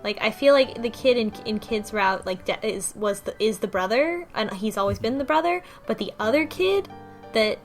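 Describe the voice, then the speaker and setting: A woman's voice: fairly high pitch, animated, normal speed, faint music behind. A woman podcast host casually recapping a story in a loose, conversational way, as if thinking aloud. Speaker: book club podcast host.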